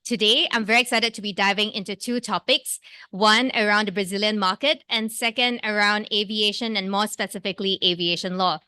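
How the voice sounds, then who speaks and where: Podcast host, woman, bright tone, upbeat and eager, dry close sound. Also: medium pitch, steady tone, a little fast, clear, resonant tone, dry recording. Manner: upbeat, enthusiastic. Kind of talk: interview hosting.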